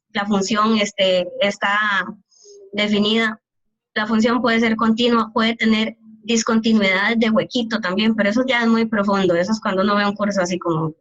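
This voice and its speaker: A woman's voice: fairly high pitch, a bit bright. Woman teacher explaining calculus, dull audio. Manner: explains step by step, keeps checking students follow. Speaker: math teacher. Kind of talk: online calculus lecture.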